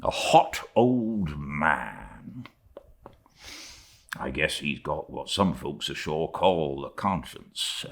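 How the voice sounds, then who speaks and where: A man voicing an indignant, bewildered sailor, a second mate in a sea novel, with an excitable, colloquial, muttering way of talking. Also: extremely low pitch, extremely slow, gravelly, exaggerated voice. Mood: puzzled muttering.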